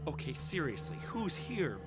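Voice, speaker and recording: A man playing an awkward, exasperated high school boy in an audio drama, easily flustered and hesitant. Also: big pitch swings, normal speed, loud music behind the voice. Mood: wary.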